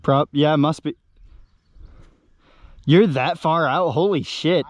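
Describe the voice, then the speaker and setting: A man's voice: a little high, slow pace, no room sound, studio quality. A man chatting casually as he fishes, with some mild swearing, recorded outdoors on a river sandbar. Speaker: angler filming a fishing outing. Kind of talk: casual chat while fishing. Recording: outdoor action-camera audio with background noise.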